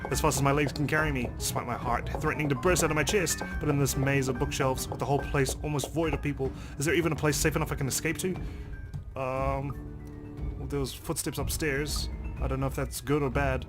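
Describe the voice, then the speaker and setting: A man with a medium-pitched voice narrates a visual novel for a let's play. He reads the story expressively and adds casual asides, with game music clearly playing behind him.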